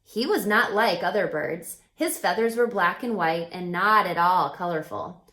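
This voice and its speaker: A woman reading a picture book aloud to young children, expressive and emphatic, addressing the little listeners directly. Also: on the low side. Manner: asks the listeners questions, emphatic, drawn-out phrasing. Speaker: storyteller, children's book reader. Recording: echoey room.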